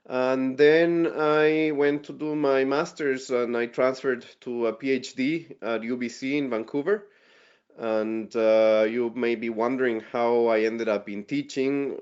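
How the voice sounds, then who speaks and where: A man from Ecuador giving a friendly webinar presentation as a guest lecturer, often repeating words while he thinks. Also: medium pitch. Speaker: guest speaker, forestry lecturer. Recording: dull lo-fi webinar audio.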